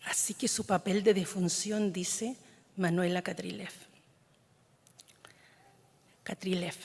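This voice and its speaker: A woman poet from Chile whose mother tongue is Mapudungun, reading her poems in a measured, solemn way, often letting lines fade into a whisper. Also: on the low side, steady tone, gravelly, darkish tone, slightly rough. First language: Mapudungun (Huilliche). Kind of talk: slow, solemn poetry reading. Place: large conference hall. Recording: long reverb of a large hall.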